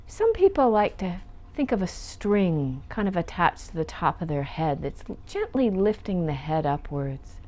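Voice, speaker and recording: A woman leading a guided meditation as a teacher, speaking gently and soothingly and lingering on her phrases. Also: on the low side, very animated, unhurried, hardly any pauses.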